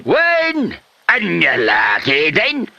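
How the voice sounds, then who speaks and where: A man's voice: fairly high pitch, wildly swooping pitch, drawn-out words, vocal fry, bright, forceful tone, lo-fi sound, a little hiss or hum, heavily acted. A man playing a quarrelsome, stubborn old husband in an Ilocano comedy radio drama, speaking with an Ilocano accent in a bickering, exclamatory way. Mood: exasperated.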